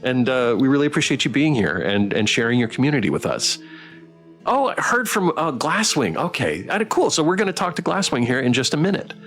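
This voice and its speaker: A man's voice: medium pitch, sing-song, fairly quick, fairly bright tone, soft background music. A man chatting casually as a livestream host, hesitant and restarting his phrases, thanking people appreciatively. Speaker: streamer playing and reading a visual novel aloud.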